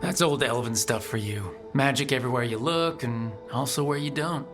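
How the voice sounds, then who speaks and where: Man acting a casual rebel hero, mid-pitched. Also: normal speed, over music, close to an everyday voice. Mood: casual, friendly. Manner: casual, reassuring, sincere. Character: young male rebel hero, casual and caring. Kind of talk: video game voice acting.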